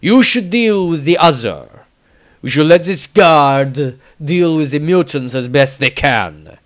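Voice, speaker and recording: A man's voice: fairly high pitch, lively intonation, slow pace, pitched above the performer's own voice. A man voicing a hard-faced, gallant adventurer in a fantasy storybook, grandiose and commanding. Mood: gallant confidence.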